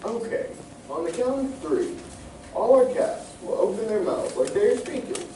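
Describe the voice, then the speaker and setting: A man's voice: high-pitched, sing-song, moderate pace, mellow, recorded from a distance. A young man playing a formal, long-winded radio announcer, giving a scripted comic announcement on stage. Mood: wry, instructing. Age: young adult. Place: live stage in a community playhouse. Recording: echoey hall from afar, faint noise.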